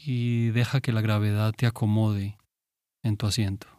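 A man guiding a meditation in a calm, gentle, unhurried manner with pauses. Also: on the low side, no echo, clean studio sound. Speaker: meditation guide. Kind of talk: guided meditation.

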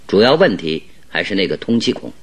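A man playing a shrewd, calm detective in a Mandarin radio drama, speaking deliberately and with emphatic instruction. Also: low voice, breathy edge, exaggerated voice. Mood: grave, deliberating. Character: shrewd, commanding male detective, calm and exacting.

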